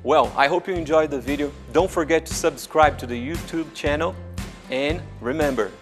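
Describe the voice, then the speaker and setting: A woman hosting an interview, asking friendly, clear questions and closing with a sign-off. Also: low voice, moderate pace, faint music behind. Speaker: interviewer and channel host.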